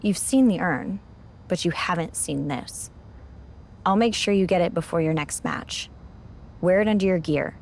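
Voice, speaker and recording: A woman playing a seasoned wrestling champion in a video game, speaking gravely and cautioning like a keeper of dangerous secrets. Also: fairly low pitch, normal speed, pauses often, a little mellow, a little hiss or hum. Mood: solemn. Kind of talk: cinematic game dialogue.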